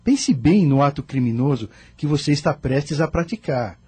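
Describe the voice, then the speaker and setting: An earnest doctor acted by a man in a radio play. His voice is very raspy and dark, and he urges with deliberate moral weight. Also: a little high, lively intonation, no room sound. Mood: earnest, admonishing. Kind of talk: radio drama acting.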